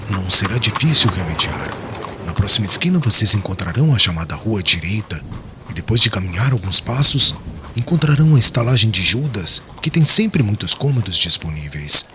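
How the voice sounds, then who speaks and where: A male actor plays a haughty, reserved man in an audiobook drama. His voice is low but very sharp and forceful, with formal, cool phrasing over faint background noise. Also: sing-song, a little fast. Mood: dismissive. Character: Sadok, cold, reserved, self-important male friend turning someone away.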